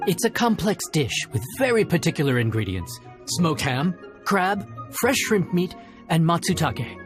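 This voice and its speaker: A man voicing a proud, courteous chef in a video game, speaking in a measured, earnest way over music. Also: fairly high pitch. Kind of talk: video game character acting.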